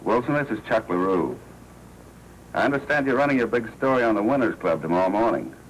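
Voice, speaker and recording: An American actor playing a smooth-talking racketeer boss in a 1940s noir film, deliberate and falsely cordial. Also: male voice, lowish voice, animated, lightly acted, poor audio. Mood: persuasive, cordial. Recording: hissy 1940s film soundtrack.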